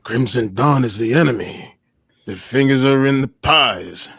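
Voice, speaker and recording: A grave adventurer read by a man with a husky, dry-sounding voice. He speaks slowly and warily, like a plotter, in a comedic table read. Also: mid-range voice, fairly bright tone, no echo, full character voice. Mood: grave, wary. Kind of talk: comedic live table read of a fan script.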